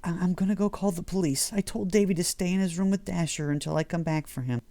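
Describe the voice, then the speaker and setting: A man's voice: sits high, fast, dark tone, dry recording, pitched above the performer's own voice. A man voicing a frightened, worried wife and mother in a horror audiobook, in a shaky manner. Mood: anxious, shaken.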